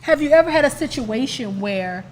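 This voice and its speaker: A woman's voice: high-pitched, expressive, moderate pace, long reverb tail, a little hiss or hum, low-quality recording. A woman asking probing questions in casual chat.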